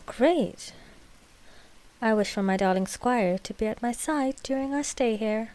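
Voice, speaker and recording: A woman's voice: on the high side, unhurried, darkish tone, lightly acted. A woman playing a proud, possessive vampire queen-to-be in an audio roleplay, with haughty, formal, precise speech. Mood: imperious, protective. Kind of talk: scripted audio roleplay drama.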